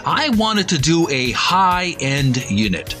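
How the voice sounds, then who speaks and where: Man with a lively, halting delivery over music. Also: on the high side. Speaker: host of a video game channel. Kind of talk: casual intro and book reading aloud. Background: background music.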